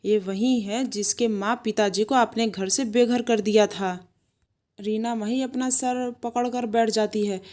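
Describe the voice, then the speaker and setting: A woman with a fairly high voice serves as narrator. She delivers scripted storytelling narration for an animated moral tale. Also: measured pace.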